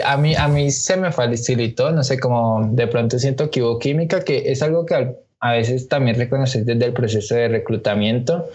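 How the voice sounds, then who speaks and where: A man with a Colombian accent hosting a casual chat, relaxed and joking. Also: medium pitch. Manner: relaxed, joking, hesitant restarts. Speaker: host.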